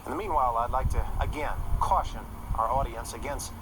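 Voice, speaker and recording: A man playing a TV news anchor with a measured broadcast delivery. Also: mid-range voice, steady tone, background noise, natural voice. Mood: concerned. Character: composed male TV news anchor.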